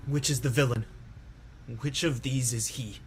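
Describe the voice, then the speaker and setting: A man's voice: medium pitch, steady tone, measured pace, soft background music, lightly acted. A man playing a grieving, indignant old nobleman and father in a Shakespeare radio play, speaking with bitter, wounded deliberation. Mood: bitter, accusing.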